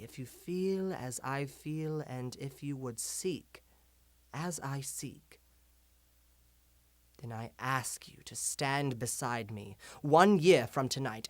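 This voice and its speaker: A man playing an eloquent, defiant vigilante revolutionary, delivering a measured, rhetorical monologue as a broadcast address to a nation. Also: on the high side, animated, no echo, lightly acted. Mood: resolute, stirring. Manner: measured, rhetorical, deliberate dramatic pauses, drops to whispers.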